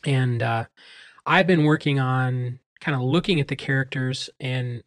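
A man with a mid-pitched voice chats casually as a podcast co-host. He pieces his thoughts together loosely, with plenty of filler words. The sound is dry, with hardly any room in it. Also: measured pace, no echo. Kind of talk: casual chat about books.

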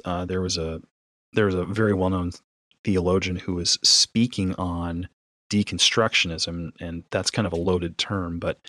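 A man's voice: sits low, no room sound, studio quality. A man hosting a podcast, teaching conversationally through anecdotes, acting out dialogue and posing rhetorical questions. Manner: animated storyteller, acts out dialogue, asks rhetorical questions. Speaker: podcast host.

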